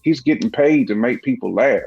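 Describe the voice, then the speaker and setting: A man's voice: medium pitch, lively intonation, normal speed, creaky, husky, highs cut off. A man co-hosting a casual podcast, talking emphatically in slang and laughing between his points. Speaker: podcast co-host.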